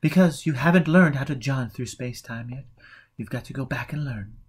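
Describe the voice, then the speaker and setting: A man reading the part of a calm, forgiving woman in an audiobook, gently and patiently giving guidance. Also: medium pitch, a little fast, slightly rough, lightly acted.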